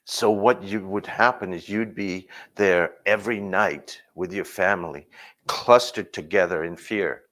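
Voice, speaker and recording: A man from Burma telling his personal story in a reflective way, pausing and restarting as he talks. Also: low-pitched, a bit bright. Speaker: speaker sharing his story.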